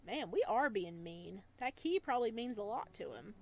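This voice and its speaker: A woman's voice: mid-range voice, lively intonation, moderate pace, darkish tone. A woman hosting a gaming video, giving casual, chatty, giggly let's play commentary. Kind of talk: let's play commentary and reading game text aloud.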